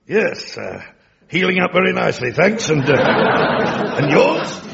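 A man's voice: high-pitched, big pitch swings, a little slow, gravelly, a loud crowd, exaggerated voice. A man playing a blustering upper-class English prince with a plummy accent who cracks jokes. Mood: awkward. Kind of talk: broad sketch comedy with a live audience. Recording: lo-fi recording, loud audience and noise.

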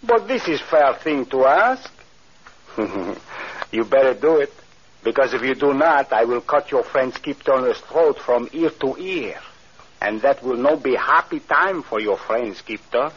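An actor playing a swaggering Spanish pirate in an old radio drama, speaking broken English with a Spanish accent and a husky voice. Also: male voice, medium pitch, expressive, unhurried. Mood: threatening. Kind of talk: 1940s radio acting. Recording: muffled old radio recording.

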